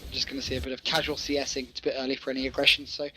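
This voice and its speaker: A man's voice: medium pitch, flat delivery, rapid-fire, rough recording. A man doing casual esports commentary, thinking aloud as he analyses and calls the play.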